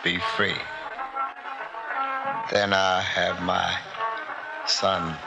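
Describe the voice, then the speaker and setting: An actor playing a menacing native chief in a radio horror drama, speaking halting, clipped broken English in deliberate phrases. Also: male voice, sits low, completely flat delivery, gravelly, full character voice, rough recording. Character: menacing native chief bent on sacrifice, grieving his son. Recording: vintage radio drama with loud music.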